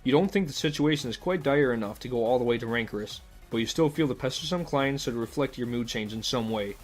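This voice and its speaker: A man's voice: mid-range voice, fast, faint music behind. A man reading scripted comic narration in the second person, with a wry, mock-formal manner.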